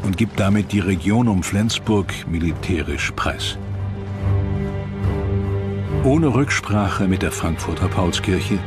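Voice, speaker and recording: A man narrates a historical documentary from a script. His voice is deep, and music plays clearly behind him. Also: lively intonation, takes it slowly, pauses often.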